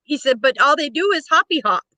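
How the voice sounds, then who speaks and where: A woman's voice: high voice, lively intonation, brisk, pushed and bright, no room sound. A woman chatting casually and playfully, passing on her son's questions and laughing easily. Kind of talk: casual chat.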